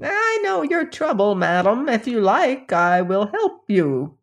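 A woman voicing a greedy, grumbling old woman with a stick who is quick to anger. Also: fairly high pitch, sing-song, voice pushed up, heavily acted. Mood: sly. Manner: testy, quick to rage.

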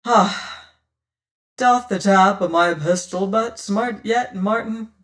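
A silver-tongued, scornful pirate rogue from an adventure audiobook, acted out by a male reader. He lectures and taunts in old seafaring speech, slowly and in a high register, slightly off the microphone. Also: fairly bright tone, recorded from a distance. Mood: mocking, cool. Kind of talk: dramatised audiobook reading of an adventure tale.

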